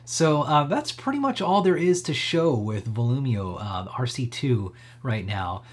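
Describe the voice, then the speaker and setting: Slightly husky man, casual tech host. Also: medium pitch, sing-song, a little slow. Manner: conversational, rambling, frequent hedging asides. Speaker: livestream host demonstrating electronics projects. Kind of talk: casual live tech demo, thinking aloud.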